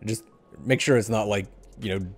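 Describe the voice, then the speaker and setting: A man's voice: on the low side, normal speed, faint music behind. A middle-aged man hosting a gaming podcast in an informal, conversational way, talking into a headset indoors. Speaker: show host. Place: indoor room at a desk.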